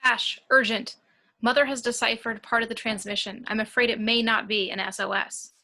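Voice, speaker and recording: A woman's voice: on the high side, fairly even intonation, normal speed, pushed and bright. A woman playing an urgent, insistent warrant officer on a spaceship, earnest, in an amateur table read. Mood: urgent warning.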